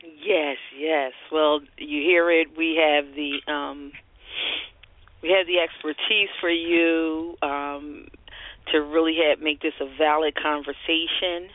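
A woman's voice: sits low, steady tone, slow, dry recording. A woman hosting a call-in internet radio show, introducing topics and guests. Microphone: phone. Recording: dry, tinny phone line.

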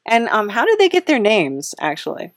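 A woman's voice: mid-range voice, wildly swooping pitch, normal speed, no echo. A woman hosting a comic interview in a casual, rambling, hesitant way with a wry touch. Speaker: podcast host, interviewer.

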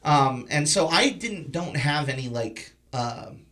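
A man with a fairly high-pitched voice hosts a casual film chat. His delivery is informal and blunt, in the loose style of an unscripted conversation. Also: lively intonation, slow pace, bright, forceful tone. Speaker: podcast host.

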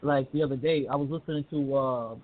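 A man from the southern US calling into a talk radio show, relaxed and full of fillers like "uh" and "like". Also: medium pitch, fairly quick. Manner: laid-back, many fillers. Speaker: caller. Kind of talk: call-in talk radio chat. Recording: phone-line audio with faint hiss.

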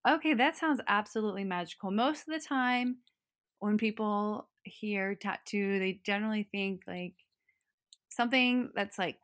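A woman's voice: mid-range voice, a bit bright, no echo, clean studio sound. A woman hosting an interview podcast, asking long, rambling questions filled with "um". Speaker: podcast host.

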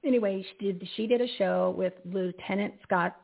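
Raspy woman on a phone line. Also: medium pitch, moderate pace. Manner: rambling, loses her train of thought, laughs at herself. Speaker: radio show host. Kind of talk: casual live radio talk.

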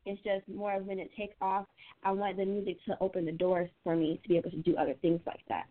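A woman's voice: mid-range voice, level tone, fast talker. A young woman from Atlanta giving a casual radio interview as a guest singer, informal and rephrasing as she goes. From Atlanta, United States. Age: young adult. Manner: informal, repeats and rephrases herself. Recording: tinny, phone-like line.

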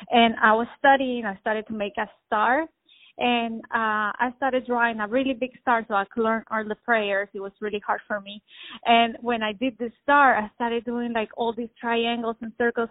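A woman from Colombia chats freely as a guest on a phone interview, her stories tumbling out, peppered with "like." Her voice is on the higher side. The line is tinny and phone-like, dry with no room sound. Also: dry recording. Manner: chatty, fast-flowing, many "like"s. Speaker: guest artist. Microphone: telephone.

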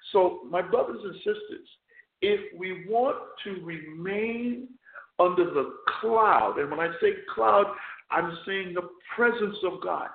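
A man preaching a radio sermon as chaplain and host, hoarse and losing his voice, often stopping to restart or repeat words. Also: high-pitched. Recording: tinny phone line, echoey.

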